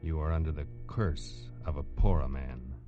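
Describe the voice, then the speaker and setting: A man with a very deep voice delivers a dramatic, portentous old-time radio announcement. The recording is dull, and a loud musical score plays behind him. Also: moderate pace, over loud music.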